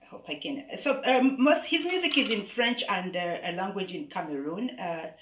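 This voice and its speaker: A woman's voice: mid-range voice, expressive, moderate pace, a bit bright, distant mic. A woman hosting a casual music livestream, chatting with many fillers and restarts. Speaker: host. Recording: echoey room, off-mic, faint noise.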